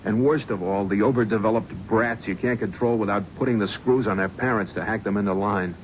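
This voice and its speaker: Actor as a driven, sardonic talent scout, lowish voice, old radio play, dull hissy broadcast. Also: male voice, a little fast, close to an everyday voice. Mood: bitter. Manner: bitter, restless, sardonic. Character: driven, haunted male talent scout, middle-aged. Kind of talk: 1970s radio drama acting.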